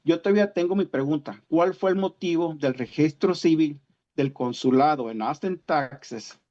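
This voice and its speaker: Muffled higher-voiced northern Mexican man on video call. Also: normal speed, dark tone. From Sonora, Mexico. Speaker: citizen speaker at a hearing. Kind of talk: formal prepared statement read out.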